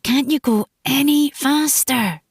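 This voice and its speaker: A woman's voice: high-pitched, takes it slowly, dry recording, clean studio sound, voice pushed up. A woman voicing a nervy, impatient boy keeping lookout for grave robbers, speaking with urgency in an audiobook. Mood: urgent, anxious.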